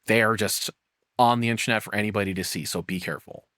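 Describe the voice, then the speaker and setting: A man's voice: lowish voice, fast, bright, forceful tone, no room sound. A man giving casual, rambling reaction commentary as a streamer, swearing freely in an exasperated way.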